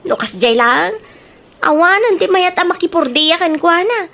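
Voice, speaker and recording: Woman acting high-voiced, grumbling farmhand woman. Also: animated, normal speed, fairly bright tone, exaggerated voice. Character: deferential but grumbling female farm labourer Daisy. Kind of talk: radio comedy drama acting.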